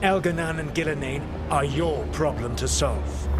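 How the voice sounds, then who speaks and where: An actor playing a proud, wry, ancient elven trickster god in a video game, speaking formally and deliberately with dry sarcasm. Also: male voice, on the high side, lightly acted. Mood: dry, resigned. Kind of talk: dramatic video game dialogue. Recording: game dialogue over prominent music.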